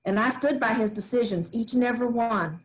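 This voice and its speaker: A woman's voice: a little high, fairly quick, natural voice, poor audio. A woman reading the part of a stern rural grandmother, in a staged play reading. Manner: folksy, firm, drawling country talk. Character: stern rural grandmother, family matriarch, firm.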